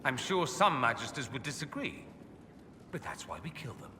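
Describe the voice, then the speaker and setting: A man's voice: medium pitch, big pitch swings, normal speed, pushed and bright, big-room sound, a little hiss or hum. An actor playing a witty, vain, aristocratic male mage in exile, speaking with arch, theatrical eloquence in a scripted video game. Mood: flippant, wry.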